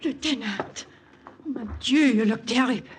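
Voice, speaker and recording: An actress playing an alluring, shrewd French woman in a 1940s radio drama, teasing in measured, formal English with a French accent. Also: a little high, music in the background, natural voice. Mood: startled.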